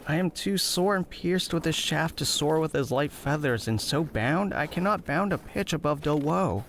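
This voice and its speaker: A man acting a lovesick young nobleman with a highish, creaky voice. He broods in melancholy Shakespearean verse, with faint noise in the background. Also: expressive, moderate pace. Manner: brooding, poetic. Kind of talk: Shakespearean audio play acting.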